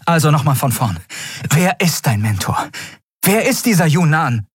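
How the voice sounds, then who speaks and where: A man voicing a harsh, angry woman who interrogates a captive in a sharp, demanding, desperate manner in an audiobook. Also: fairly high pitch, big pitch swings, normal speed, slightly rough, no echo, clean studio sound, pitched above the performer's own voice, exaggerated voice. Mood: cruel, threatening.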